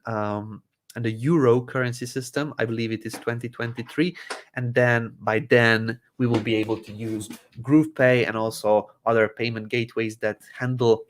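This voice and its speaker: Man explaining software setup. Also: medium pitch. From Croatia. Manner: hesitant, many fillers and restarts, explains step by step. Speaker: host answering user questions. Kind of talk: live Q&A tech support walkthrough.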